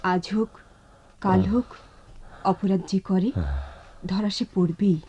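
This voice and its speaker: An actress playing a proud, wronged wife in a radio drama, with theatrical, rhetorical delivery. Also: female voice, mid-range voice, fairly even intonation, warm tone, slight background noise. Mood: accusing, bitter. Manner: theatrical, measured rhetorical delivery with repeated phrases. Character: wronged, accusing wife, proud, cutting, ultimately compassionate. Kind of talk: Bengali radio drama acting.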